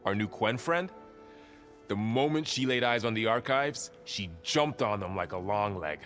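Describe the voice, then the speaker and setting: A playful, low-voiced loyal companion. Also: male voice, animated, moderate pace, a bit bright. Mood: upbeat, teasing. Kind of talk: video game cutscene acting. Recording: background music under the voice.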